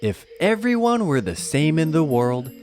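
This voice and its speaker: Gentle, patient ape father , played by a man for an animated kids' read-aloud; lively swings in pitch, soft music behind. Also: moderate pace. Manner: expressive, gently instructive. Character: gentle, patient ape papa reading to his child. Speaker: storybook reader.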